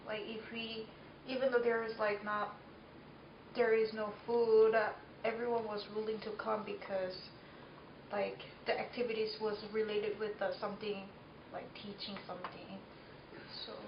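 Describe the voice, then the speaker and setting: A woman's voice: medium pitch, little pitch movement, moderate pace. Hesitant Korean student woman, echoey. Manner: hesitant, many fillers. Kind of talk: casual group discussion. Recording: echoey room, dull lo-fi, noisy.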